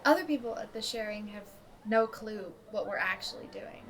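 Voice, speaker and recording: A woman's voice: medium pitch, normal speed, close to an everyday voice. A woman acting a loyal group member in an audio drama, casual and matter-of-fact. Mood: assured, casual. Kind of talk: naturalistic audio drama dialogue. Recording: clear outdoor ambient noise behind.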